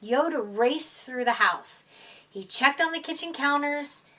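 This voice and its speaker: A woman reading a children's picture book aloud in an expressive, dramatic storytime style, drawing out words for young listeners. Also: high voice, a little slow, distant mic.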